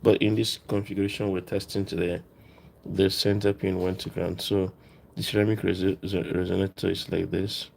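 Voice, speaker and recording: Gravelly, even-toned man giving a tutorial. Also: deep voice, fairly quick, a little hiss or hum. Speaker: presenter of a DIY electronics tutorial. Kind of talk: casual hands-on tutorial talk, explaining as he works.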